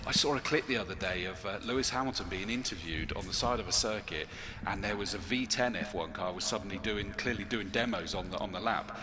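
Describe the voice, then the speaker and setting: A man's voice: on the low side, brisk. A man working as a motorsport commentator, chatting casually on a live stream with enthusiasm and telling stories with animation.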